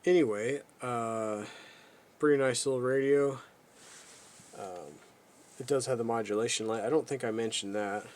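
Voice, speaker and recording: A man giving a casual, unscripted product walkthrough as a video host, talking in a halting, low-key, rambling way. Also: background noise. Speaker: radio reviewer, video host.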